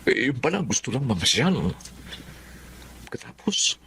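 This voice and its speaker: A man's voice: mid-range voice, very animated, a little slow, sharp tone, full character voice. A man playing a loyal, uneasy husband in a Filipino drama, speaking in an earnest, conversational way. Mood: troubled. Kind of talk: Filipino radio-style drama acting. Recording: faint background noise.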